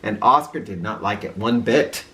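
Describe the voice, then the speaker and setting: A woman reading a children's story aloud in a theatrical, expressive way, with an informal touch. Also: rumbling low, normal speed. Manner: theatrical, with informal asides to listeners.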